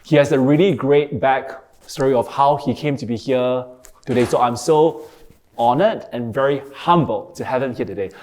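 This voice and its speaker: Effusive male podcast host, mid pitch. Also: moderate pace, a little mellow. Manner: effusive, affirming, frequent short acknowledgements. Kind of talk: interview hosting.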